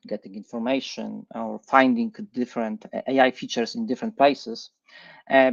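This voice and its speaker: Middle-aged Polish man, gravelly voice. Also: mid-range voice, muffled recording. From Poland. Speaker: guest presenter. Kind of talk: live tech presentation. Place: online livestream session.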